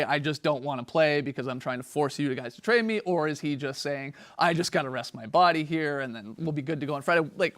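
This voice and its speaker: A man's voice: on the high side, fairly bright tone. A man hosting a studio sports talk show, playfully hamming up the intros like an emcee. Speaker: host.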